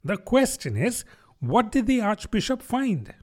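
A man's voice: sits high, very animated, measured pace, darkish tone, voice pushed up, heavily acted. A man voicing a pert, argumentative, literal-minded duck in a children's storybook.

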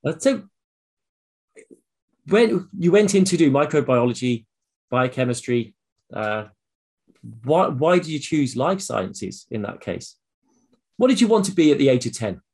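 A man's voice: medium pitch, big pitch swings, a little slow, long pauses, darkish tone, slightly rough. A man with a British accent hosting a casual, conversational interview. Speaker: podcast host, interviewer.

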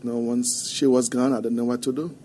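Haitian-accented male guest, mellow and warm, quiet earnest storyteller, faint hiss behind. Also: mid-range voice, little pitch movement. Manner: soft-spoken, halting, earnest storytelling. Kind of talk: podcast interview. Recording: faint background noise.

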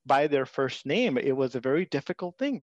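An interview guest from India, telling personal stories in an earnest, reflective way. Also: male voice, mid-range voice, very animated, a little fast, no room sound, lo-fi sound. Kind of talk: personal storytelling.

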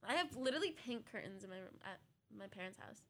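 A young woman co-hosting a casual podcast, excitable and gushing. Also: medium pitch, fast talker. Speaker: podcast co-host. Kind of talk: casual chat.

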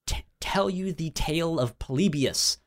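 A man co-hosting a casual podcast, speaking informally and haltingly with a stutter that repeats sounds and syllables. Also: fairly high pitch. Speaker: podcast co-host.

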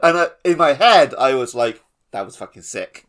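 Male podcast co-host, average pitch, wry and playful, relaxed conversational delivery with a joking streak. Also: normal speed, a little hiss or hum. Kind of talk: casual joking chat.

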